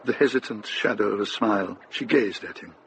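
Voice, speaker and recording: An actor narrating as a grave, measured storyteller in a scripted radio drama. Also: male voice, on the low side, vocal fry, a bit bright, slight background noise, poor audio. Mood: tender, wistful.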